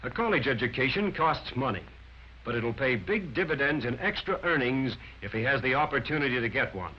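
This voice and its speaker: A man's voice: mid-range voice, animated, a little fast, slight background noise. A man delivers scripted 1940s instructional film narration in an emphatic, declarative, instructive manner. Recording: dull band-limited 1940s film soundtrack, music.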